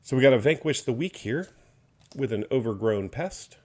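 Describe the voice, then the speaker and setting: A slightly hoarse man opening trading card packs, naming each card in a steady listing commentary with mild enthusiasm. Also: mid-range voice, moderate pace. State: slightly hoarse, recovering from illness. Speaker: card box opener. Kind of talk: unboxing commentary.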